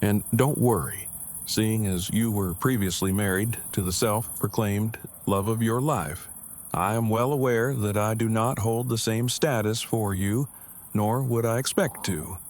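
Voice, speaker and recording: A man's voice: on the low side, measured pace, lightly acted. A man playing an awkward, earnest survivor in an audio drama, speaking in stiffly formal, long-winded, precise phrases. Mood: earnest, humble. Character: awkward, verbose, earnest male survivor and loyal friend. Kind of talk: fan audio drama acting.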